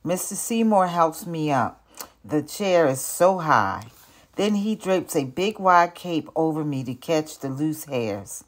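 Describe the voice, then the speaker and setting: An older woman, a retired teacher, reading a children's picture book aloud in an expressive, deliberate storytelling manner. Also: sits low.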